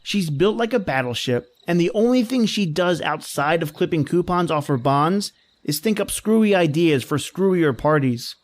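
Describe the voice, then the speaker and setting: A man's voice: a little high, animated, moderate pace, natural voice. A man playing a grumbling, slangy young fiancé in an old-time radio drama, with a wry, exasperated manner. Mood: exasperated, sardonic. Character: grumbling wisecracking young fiancé dreading his boss-lady's party. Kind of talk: 1930s radio drama acting.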